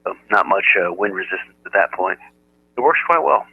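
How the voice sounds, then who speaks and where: Low-voiced American man on narrow-band radio. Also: expressive, normal speed, vocal fry, heavy background noise. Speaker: amateur radio operator. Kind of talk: casual ham radio chat.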